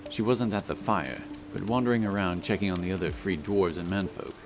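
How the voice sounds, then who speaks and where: Male fantasy audiobook narrator, very low voice, storytelling delivery over prominent music. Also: brisk. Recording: loud music under the voice.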